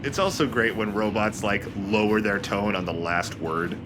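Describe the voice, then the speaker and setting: Man chatting casually over loud game music. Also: fairly low pitch, normal speed. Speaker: let's play host. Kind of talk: casual gaming commentary among friends. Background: game music and sound effects.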